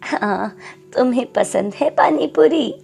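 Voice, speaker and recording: A woman voicing a kindly old woman in an animated moral story, in a welcoming, encouraging manner. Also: high voice, takes it slowly, vocal fry, faint music behind, pitched above the performer's own voice, exaggerated voice. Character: kindly old woman who sells pani puri.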